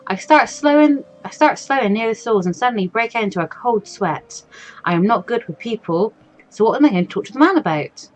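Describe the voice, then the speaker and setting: A woman's voice: fairly high pitch, animated, fairly quick, rough recording. A woman hosting a game playthrough, reading story text aloud expressively and adding casual asides of her own. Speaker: let's play host. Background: faint game music.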